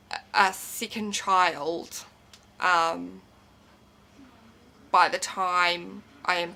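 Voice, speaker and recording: A woman in her twenties speaking reflectively and haltingly, confiding in a personal vlog monologue. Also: lowish voice, gravelly, pushed and bright, a little hiss or hum. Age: 20s. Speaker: video blogger.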